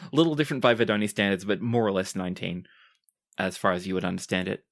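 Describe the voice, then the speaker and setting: A man's voice: low-pitched, fairly even intonation, brisk, vocal fry. A man improvising narration as the game master of a tabletop roleplaying podcast.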